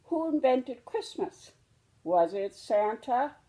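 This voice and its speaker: A woman voicing a curious, excitable young bear cub with childlike wonder in a children's storybook. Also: on the high side, slow pace, pitched above the performer's own voice, poor audio. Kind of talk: children's storybook read-aloud.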